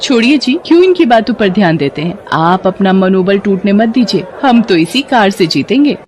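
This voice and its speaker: A woman voicing a supportive young wife in an animated moral story, reassuring and emphatic. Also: medium pitch, moderate pace, slight background noise, close to an everyday voice. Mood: urging.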